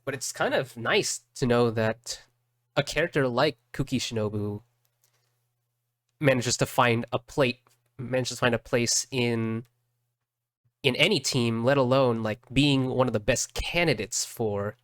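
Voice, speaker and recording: A man giving casual reaction commentary as a streamer, halting and often repeating his words. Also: mid-range voice, fairly bright tone, dry recording, studio quality.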